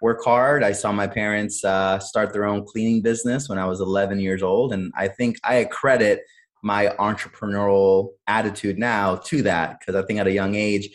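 A man's voice: fairly low pitch, steady tone, moderate pace. A man in his thirties from Cleveland, born in Honduras, telling his life story as a podcast guest in an earnest way, with many "uh"s and repeated words. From born in Honduras, raised in Cleveland, Ohio. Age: 30s. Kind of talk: candid conversational storytelling.

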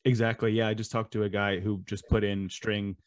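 A man's voice: lowish voice, little pitch movement, brisk, dry recording. A man hosting an interview podcast, asking questions and answering with frequent affirming murmurs. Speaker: podcast host. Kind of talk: casual interview.

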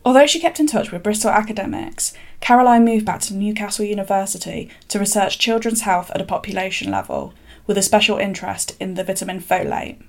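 Gravelly-voiced woman podcast interviewer. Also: mid-range voice, animated, a little fast. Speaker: interviewer and narrator. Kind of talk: scripted narration and interview questions.

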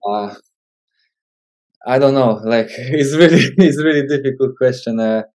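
A Polish man in his twenties with a Polish accent, giving casual interview answers as a footballer in hesitant, halting English. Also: medium pitch, a little mellow. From Poland. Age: 20s. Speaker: professional footballer, interview guest.

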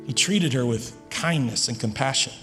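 A man's voice: medium pitch, pushed and bright, faint music behind. Male pastor, earnest emphatic sermon delivery, big reverberant church hall. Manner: earnest, emphatic preaching with dramatic pauses.

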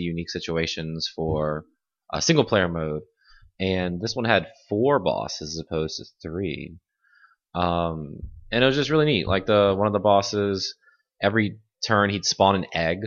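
Deep-voiced chatty man, lots of "like". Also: fairly bright tone. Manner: chatty, filler-heavy, laughs often. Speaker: podcast co-host. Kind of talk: casual chat. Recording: dull lo-fi audio.

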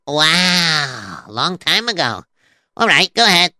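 A goofy, interrupting sidekick who mishears everything and trips over his own words, as a man voices him for a children's story show. The voice is very bright and clean, recorded close and dry. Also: a little high, big pitch swings, slow pace, no room sound, heavily acted. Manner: scatterbrained, stammering, giggly. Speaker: comic sidekick.

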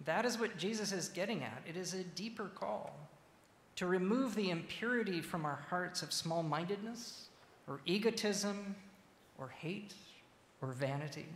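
A man preaching a sermon as a church minister, speaking in measured, deliberate phrases with pauses. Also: sits high, fairly bright tone. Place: large reverberant church interior. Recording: echoey, reverberant hall with faint noise.

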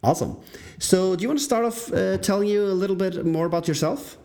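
A man's voice: high-pitched, quick pace, vocal fry, a little mellow. A man hosting a podcast, asking questions casually and a little tentatively. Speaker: podcast host. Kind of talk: casual interview.

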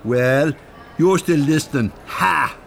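A man's voice: fairly high pitch, slow pace, vocal fry. An actor playing a chatty, nosy, thin-skinned older working-class Dublin man in a radio drama, rambling and familiar. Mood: wounded, needling. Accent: Dublin Irish. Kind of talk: naturalistic radio drama acting. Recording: urban ambience, faint background noise. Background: shopping centre ambience.

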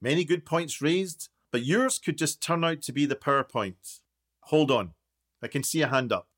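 Guy acting as a pushy self-important documentary maker, kinda high voice, brisk, radio play. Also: expressive, a bit bright. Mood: businesslike. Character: self-important, impatient male documentary maker hosting an online meeting. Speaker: documentary interviewer.

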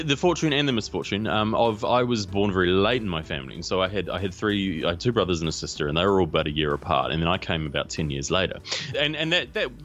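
A man's voice: on the low side, a bit bright. A man from New Zealand, a singer-songwriter, chatting casually in an interview, rambling and correcting himself as he goes. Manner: rambling, self-correcting, laughs often.

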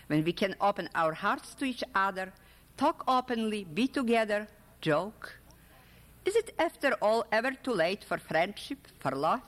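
A woman voicing a gentle, reassuring older woman in love in a literary story reading. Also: medium pitch, animated, measured pace, close to an everyday voice. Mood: quiet, hopeful. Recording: echoey hall with long reverb.

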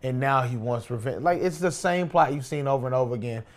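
A man's voice: medium pitch, fast. Casual man chatting, repeating himself for emphasis. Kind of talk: casual chat while playing a game.

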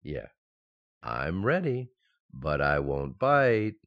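An actor playing an excitable, befuddled, affectionate husband in a 1940s-style radio sitcom, with stammering comic delivery. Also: male voice, a bass voice, takes it slowly, gravelly, highs cut off, exaggerated voice. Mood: drowsy, amused.

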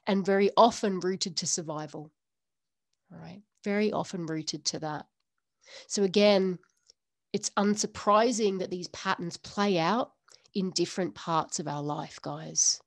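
A woman's voice: lowish voice, unhurried, vocal fry, no echo, studio quality. A woman hosting a solo podcast, talking earnestly to listeners in a string of repeated rhetorical questions. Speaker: podcast host. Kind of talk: solo podcast coaching talk.

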